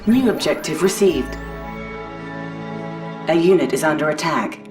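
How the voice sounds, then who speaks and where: A controlled military announcer in a strategy game. She has a low female voice and formal delivery, set a little back with music clearly underneath. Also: animated, unhurried. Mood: composed. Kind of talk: scripted video game mission announcements. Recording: slightly distant, music behind.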